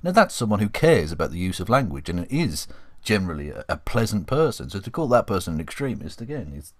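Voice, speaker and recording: A man co-hosting a casual, opinionated panel chat, speaking passionately and haltingly, repeating words as he builds his point. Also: on the low side, sing-song, no room sound, studio quality. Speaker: occasional co-host and YouTuber.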